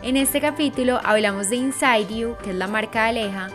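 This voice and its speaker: A woman's voice: a little high, fairly quick. A woman with a Paisa accent hosting a podcast, reading a scripted introduction and welcoming her guest. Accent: Colombian Paisa. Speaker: podcast host. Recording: clear music under voice.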